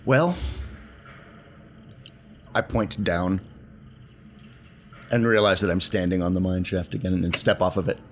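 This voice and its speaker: Deep-voiced man playing a tabletop game. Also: measured pace, long pauses, slight background noise. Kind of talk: casual in-game discussion.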